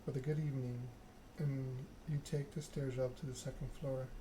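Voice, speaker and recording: A man softly narrating a story game in ASMR style, reading in a measured, deliberate way. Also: medium pitch, level tone. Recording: clearly noisy background ambience.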